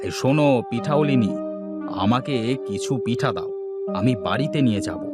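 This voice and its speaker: A man's voice: medium pitch, animated, moderate pace, over loud music, natural voice. A man voicing a kindly, reassuring village uncle in a cartoon story, with genial, emphatic delivery. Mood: genial, impressed. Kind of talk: Bengali animated story dubbing.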